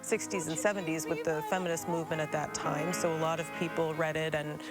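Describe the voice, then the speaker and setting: Female interviewee, somewhat low register, thoughtful and measured, background music. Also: little pitch movement.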